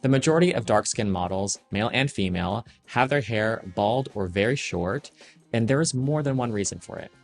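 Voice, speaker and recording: Low-voiced man narrating a serious essay. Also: lively intonation, faint music behind. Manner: measured, serious, critical. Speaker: fashion industry commentator. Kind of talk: scripted video-essay narration.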